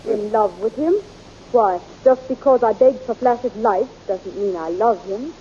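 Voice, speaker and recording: An actress playing a devoted, quick-witted young heroine who sounds earnest and coy, on a muffled old radio recording. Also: female voice, medium pitch, mellow, a little hiss or hum, lightly acted. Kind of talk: 1930s radio drama acting.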